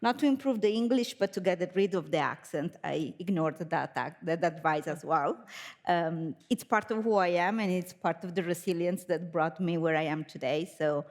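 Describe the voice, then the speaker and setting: A woman with a clear Italian accent, speaking as a panelist at a conference. Her voice sits a little low, and she talks frankly and with energy, laughing between her points. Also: moderate pace, darkish tone. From Italy. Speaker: panelist, company founder. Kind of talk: panel discussion.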